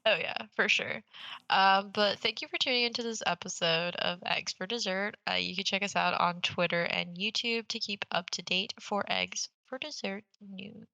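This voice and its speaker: A woman's voice: mid-range voice, moderate pace, gravelly, pushed and bright, dry recording, low-quality recording. A young woman co-hosting a gaming podcast in casual, slangy chat, swearing freely and teasing playfully. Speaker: podcast co-host.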